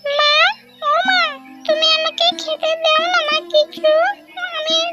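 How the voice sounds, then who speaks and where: A woman's voice: extremely high pitch, little pitch movement, slow, pushed and bright, highs cut off, faint music behind, full character voice. A woman voicing a hungry, neglected little girl in an amateur cartoon dub, whining and pleading.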